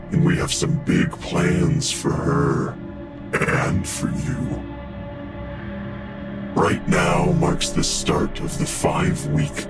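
An actor playing a cold, menacing captor in a horror audio drama, speaking in an ominous, deliberate way. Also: male voice, low voice. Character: menacing male captor coldly announcing a deadly game. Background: loud scary music.

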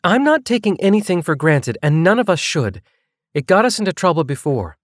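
An earnest young woman in an audiobook story as a man acts her out, with a raised, lighter pitch. She speaks directly and insistently, ready to challenge whoever she faces. The sound is dry. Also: sing-song, moderate pace, dry recording. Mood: forceful, frustrated.

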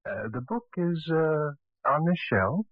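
An actor playing a librarian narrator of 1940s radio mysteries, measured and storyteller-like. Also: male voice, on the high side, expressive, soft background music. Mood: calm, intriguing. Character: atmospheric male librarian narrator introducing a mystery book. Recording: muffled old radio recording.

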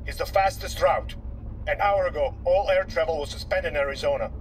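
An actor playing a confident, officious government escort in an audio drama. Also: male voice, a little high, fairly quick, natural voice. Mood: matter-of-fact. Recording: distant voice over car noise.

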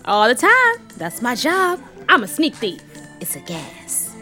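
A woman's voice: fairly high pitch, wildly swooping pitch, measured pace, bright, forceful tone, soft background music, heavily acted. A woman acting a brash, cheeky sneak thief in an audio comedy drama, with glib, teasing, slangy patter. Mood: breezy, probing. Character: brash, cheeky sneak thief named Simon, sociable hustler.

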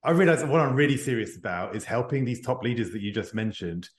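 A man's voice: medium pitch, lively intonation, quick pace, reverberant. A man, a guest author, speaking earnestly and reflectively in an interview. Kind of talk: podcast interview.

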